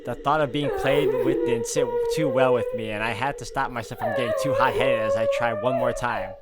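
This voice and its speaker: A man's voice: mid-range voice, huge pitch swings, a little fast, heavy background noise. A man playing and reading a horror visual novel aloud, mixing dramatic narration with casual asides as a let's player. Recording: loud music behind the voice.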